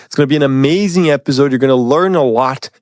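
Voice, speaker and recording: An upbeat man hosts a podcast with plenty of enthusiasm and filler words. His pitch is mid-range for a man. The recording is dry and close. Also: fast, a little mellow, no room sound. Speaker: podcast host. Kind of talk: podcast hosting.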